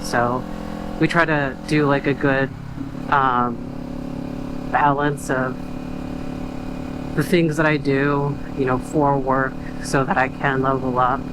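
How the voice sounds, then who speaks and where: Young man, rambling and hesitant, reflective venting, average pitch, loud road noise from a moving car. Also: little pitch movement, slow pace, long pauses. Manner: rambling, hesitant, full of fillers and restarts; quiet, reflective. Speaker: vlogger. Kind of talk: casual venting while driving. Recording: road and engine noise behind.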